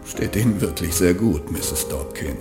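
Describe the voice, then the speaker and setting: Older captain acted by a man, low, unhurried, courteous, dryly ironic, music underneath, sci-fi audio drama. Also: lively intonation. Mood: courteous, admiring. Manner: measured, polite, dryly ironic. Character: courteous, dry-witted older spaceship captain. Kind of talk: science-fiction audio drama.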